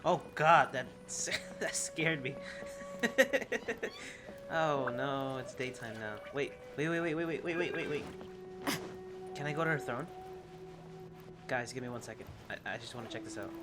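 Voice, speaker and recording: A woman's voice: very deep voice, steady tone, normal speed, long pauses, loud music behind the voice. A woman casually commenting while playing a game, chatty and reactive, often laughing. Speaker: gameplay streamer.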